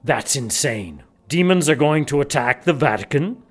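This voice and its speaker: A man's voice: fairly high pitch, expressive, normal speed, faint music behind. An actor playing a cold, menacing male church leader in an audio drama, threatening and sneering. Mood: suspicious.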